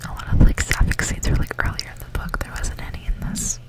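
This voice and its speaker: Casting: ASMR reader, soft unvoiced whisper throughout, relaxed and informal delivery. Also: fast. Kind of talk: ASMR whisper, reading a gothic novel aloud and chatting casually.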